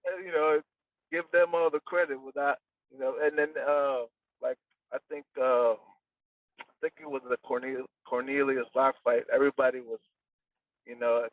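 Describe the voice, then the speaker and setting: Quiet, halting man on a tinny line. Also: medium pitch, dry recording. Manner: quiet, soft-spoken, halting with many fillers. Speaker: boxing coach, guest. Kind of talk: casual podcast interview. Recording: tinny phone-like line from far off.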